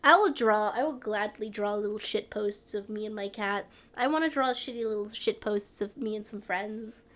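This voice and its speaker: A woman's voice: mid-range voice, normal speed, a bit bright. A young woman with a stuffy nose, chatting casually and rambling on a live stream from home. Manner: rambling, trailing off, frequent laughs.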